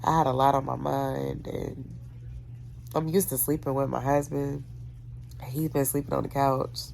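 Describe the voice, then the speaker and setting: A woman's voice: little pitch movement, a little slow, gravelly, slight background noise. A young woman who sounds nasal and congested from being sick, talking casually and wearily to her camera. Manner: tired, low-key, trailing off quietly. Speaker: vlogger. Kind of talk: casual vlog talk to camera. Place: at home, then at a pharmacy drive-through.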